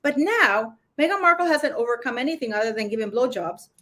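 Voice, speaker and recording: A woman hosting a casual live chat who speaks English with an accent and talks in a rambling, halting way with many fillers. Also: fairly high pitch, animated, a bit bright, slight background noise. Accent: non-native English accent. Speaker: channel host.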